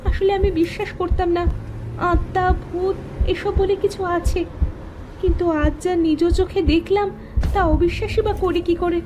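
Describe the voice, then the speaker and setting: A woman's voice: very high voice, steady tone, moderate pace, noisy background. A woman playing a curious, easily frightened young heroine in a horror cartoon. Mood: shaken. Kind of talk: Bengali horror cartoon acting.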